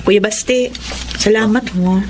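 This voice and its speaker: A woman's voice: medium pitch, slow, slight background noise, close to an everyday voice. A woman playing an earnest, hopeful young student from the province in a Filipino radio drama. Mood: tender, determined.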